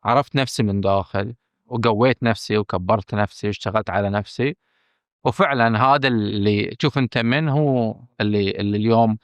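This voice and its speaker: A man with a Bahraini accent, a film director speaking candidly as a podcast guest, emphatic and earnest, often pausing on fillers and restarting. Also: lowish voice, no echo. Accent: Bahraini Arabic. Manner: earnest and emphatic, stressing his frankness, with hesitant fillers and restarts.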